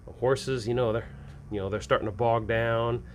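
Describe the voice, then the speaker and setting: A man with a mid-pitched voice gives instructional advice as a show host. He talks conversationally and rambles a little, repeating phrases as he works through examples. There is clear background noise in the recording. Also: steady tone, moderate pace. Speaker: host of a tabletop game-mastering advice show.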